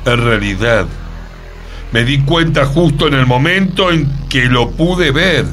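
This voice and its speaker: A man playing a dramatic, halting narrator of an eerie encounter in a radio comedy drama. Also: medium pitch, a bit bright, soft background music, natural voice. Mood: suspenseful, grave. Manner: theatrical, suspenseful, halting.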